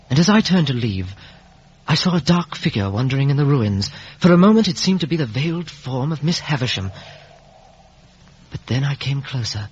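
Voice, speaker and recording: Earnest regretful gentleman, husky, literary radio drama narration, muffled old recording. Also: mid-range voice. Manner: literary, reflective, halting with emotion. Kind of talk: 1950s radio drama acting.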